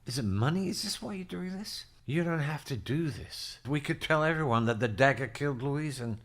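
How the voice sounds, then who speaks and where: A man's voice: fairly high pitch, lively intonation, normal speed. A man playing an earnest, imploring townsman in an audio drama. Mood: pleading. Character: earnest male townsman reasoning with a villain.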